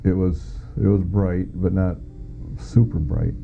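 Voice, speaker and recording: A middle-aged American man giving personal testimony as a witness, plain-spoken and halting as he recounts it. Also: sits low, steady tone, vocal fry, mellow. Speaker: witness, former air traffic controller. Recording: muffled phone-like audio with faint music.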